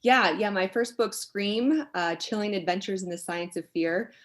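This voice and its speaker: A middle-aged woman from the United States, an expert guest explaining her work conversationally in a thoughtful, halting, reflective way. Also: mid-range voice, a bit bright. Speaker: expert guest, sociologist and author.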